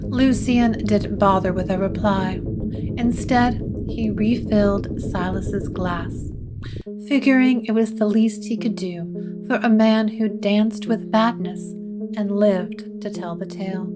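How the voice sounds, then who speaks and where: A woman's voice: mid-range voice, steady tone, slow pace. A woman narrator reading a scripted gothic story. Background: guitar music.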